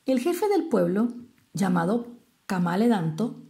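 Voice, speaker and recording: A woman from Venezuela with a Venezuelan Spanish accent, telling a traditional tale expressively and explaining things as she goes, with deliberate pauses. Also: mid-range voice, sing-song, mellow. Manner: didactic, explains terms with rhetorical questions and pauses. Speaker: storyteller.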